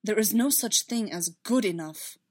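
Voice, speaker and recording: A woman voicing a firm, protective male Jedi mentor in a story, measured and reassuring. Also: medium pitch, darkish tone. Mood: insistent.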